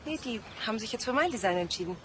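A woman's voice: on the high side, expressive, racing, noisy background, lightly acted. An actress playing a sceptical, feisty young policewoman with a blunt, sardonic manner.

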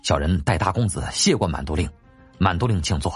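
A man's voice: low-pitched, expressive, brisk, breathy edge, faint music behind, voice pushed down. A man voicing an obsequious household steward in an audiobook, respectful and eager to explain. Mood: deferential. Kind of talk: audiobook character acting.

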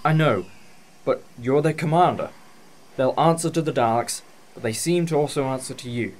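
A man's voice: medium pitch, normal speed, lightly acted. A man playing a protective time-travelling hero. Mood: calm command. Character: heroic male time-traveller, protective, urgent and decisive. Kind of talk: fan audio drama acting.

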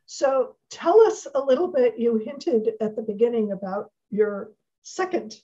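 A middle-aged woman hosts a casual interview in a fairly high voice, taking her time between short affirmations. She sounds somewhat distant and muffled over the video call. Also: expressive, measured pace, dark tone. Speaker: interview host.

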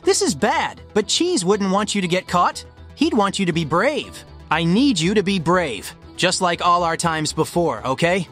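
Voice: medium pitch, big pitch swings, unhurried, a bit bright, faint music behind. A performer voicing a cocky but caring young hedgehog hero in a comic dub, casual, slangy and confident. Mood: reassuring.

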